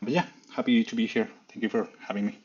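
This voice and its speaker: A man from Chile with a lowish, husky voice, introducing himself in a friendly and unhurried way. His call audio sounds dull and lo-fi. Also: fairly even intonation, a little mellow. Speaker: panel speaker.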